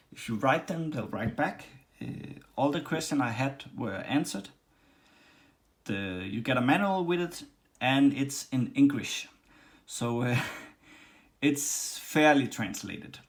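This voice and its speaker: A man from Denmark with a Danish accent, casually demonstrating and reviewing a leather machine, with many pauses and restarts. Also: mid-range voice. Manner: halting, many ums and restarts. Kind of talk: casual workshop demo. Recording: faint background noise and clicks.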